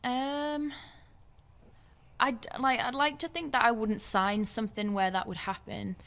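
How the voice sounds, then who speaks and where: A woman's voice: on the high side, flat delivery, unhurried, gravelly, fairly bright tone. A woman from the UK speaking as a podcast guest, chatting casually and a little hesitantly, correcting herself as she goes. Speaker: interview guest, singer-songwriter. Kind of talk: casual chat.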